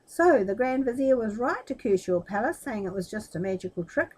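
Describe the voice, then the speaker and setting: A woman's voice: on the high side, animated, brisk, a little mellow, breathy edge, poor audio. A woman voicing a furious old Sultan in a storybook, scolding and imperious as he threatens. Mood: angry, threatening.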